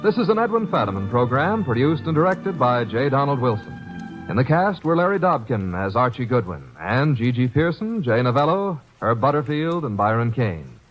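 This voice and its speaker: Formal old-time radio announcer over music. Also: male voice, mid-range voice, big pitch swings, moderate pace. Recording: dull old radio broadcast with music behind.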